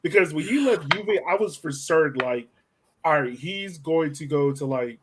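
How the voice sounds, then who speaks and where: Higher-voiced man hosting a casual podcast. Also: animated, moderate pace, background noise. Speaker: podcast host. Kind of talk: casual chat, soccer discussion.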